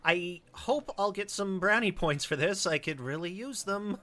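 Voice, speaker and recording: A man's voice: high voice, expressive, normal speed, sharp tone. An actor playing an eager young male college student who explains a computer simulation in a radio-play style reading. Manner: casual, explaining, hopeful.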